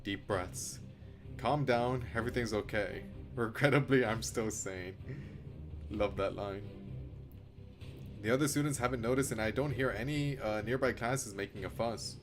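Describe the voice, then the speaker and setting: A man's voice: lowish voice, moderate pace, music in the background. A man streaming a game, reading its story aloud theatrically and reacting casually, with frequent swearing. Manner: theatrical, expressive, swears freely. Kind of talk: dramatic read-aloud mixed with casual live reactions.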